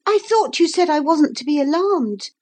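A woman's voice: high voice, lively intonation, a little mellow, voice pushed up. A woman voicing an earnest, anxious little girl in a storybook reading, with a breathless, eager way of talking. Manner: breathless, earnest, quick to apologize. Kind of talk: audiobook character voice.

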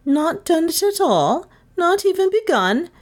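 A woman's voice: extremely high pitch, sing-song, a little slow, pitched above the performer's own voice, heavily acted. A woman reading a children's story as a stern female schoolteacher who is formal, clipped and reproachful. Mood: demanding. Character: stern, shaming schoolteacher woman, cool and exacting. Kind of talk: children's audiobook character voice.

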